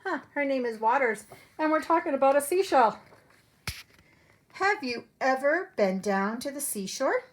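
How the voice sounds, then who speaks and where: Female preschool storyteller, fairly high voice, expressive and direct, speaking simply to little kids. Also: a little slow. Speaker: preschool teacher reading a storybook. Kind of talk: read-aloud storytelling for young children.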